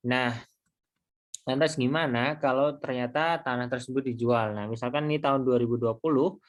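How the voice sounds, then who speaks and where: A man giving an informal lecture, explaining step by step in a halting way and posing questions to himself. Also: medium pitch, fairly even intonation. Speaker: accounting lecturer. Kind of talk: explanatory screen tutorial lecture.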